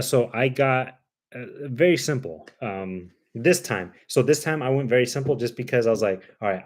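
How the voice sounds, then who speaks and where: A man with a Cuban accent, hosting a livestream in easygoing chat, rambling with plenty of ums and uhs. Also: mid-range voice. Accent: Cuban Spanish. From Cuba. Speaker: livestream host. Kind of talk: casual chat.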